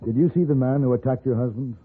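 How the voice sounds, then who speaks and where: An actor playing a composed, probing gun-for-hire hero in an old radio western, questioning in a commanding way. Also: male voice, medium pitch, quick pace, lightly acted. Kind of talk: 1950s radio western acting. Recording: muffled, rough old radio recording.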